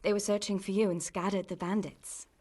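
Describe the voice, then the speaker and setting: A woman acting a caring, world-weary young miller's niece in a medieval video game drama, plain-spoken and gently chiding. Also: mid-range voice, fairly even intonation, fairly quick, lightly acted. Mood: calm, caring.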